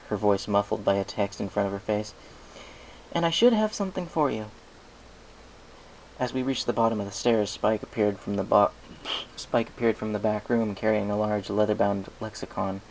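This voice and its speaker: A man's voice: lowish voice, gravelly, darkish tone, rough recording. A man reading scripted first-person story narration as an amateur reader. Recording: noticeable background hiss and noise.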